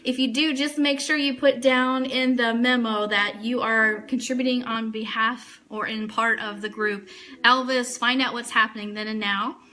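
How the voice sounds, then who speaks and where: Female online radio host, bright and fairly high, talking informally and directly to a live audience of viewers. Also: fairly even intonation, moderate pace. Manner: chatty, addressing viewers and reading their comments. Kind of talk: casual livestream chat with news items.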